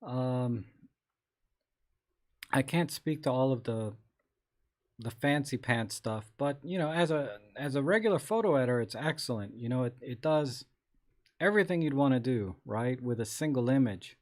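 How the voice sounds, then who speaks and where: Man, live stream host, casual chat, rambling, slightly scratchy voice, medium pitch. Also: normal speed. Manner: rambling, relaxed, many restarts and fillers.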